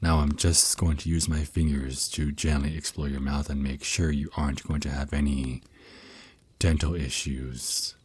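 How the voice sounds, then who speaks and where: A man's voice: a bass voice, little pitch movement, breathy edge. A man voicing a teasing, protective vampire naga boyfriend who mentors his lover in an intimate, reassuring ASMR roleplay. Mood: calm, instructive. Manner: soft teasing endearments, reassuring, unhurried. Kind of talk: ASMR roleplay, intimate one-sided dialogue.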